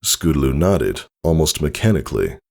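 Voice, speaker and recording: A man's voice: very deep voice, sing-song, moderate pace, gravelly, darkish tone. A man narrating a story from a script in a dramatic storytelling style. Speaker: audiobook narrator.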